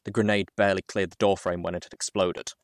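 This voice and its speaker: A man reading a first-person story aloud as a dramatic audiobook narrator, with measured phrasing and deliberate pauses. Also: deep voice, little pitch movement, heavy background noise.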